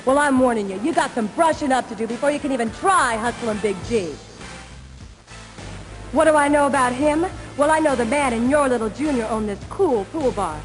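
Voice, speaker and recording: A woman's voice: sits high, normal speed, faint music behind, close to an everyday voice. An actress playing a sassy, brash female pool hustler in a video game cutscene, teasing and streetwise. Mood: friendly, warning.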